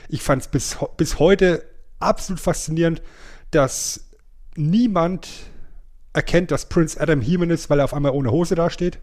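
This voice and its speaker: A man's voice: fairly high pitch, moderate pace, a little mellow. A man, chatting casually and playfully as a podcast co-host. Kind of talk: casual nostalgic chat.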